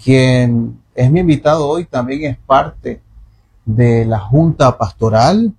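A man's voice: medium pitch, slow pace, creaky, a little mellow, a little hiss or hum. A man hosting a radio interview, who introduces his guest in an effusive, formal way. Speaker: radio host.

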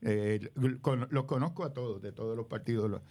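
A man's voice: lowish voice, moderate pace, darkish tone. An older man, a community leader speaking as a radio guest in a rambling way, full of hesitations.

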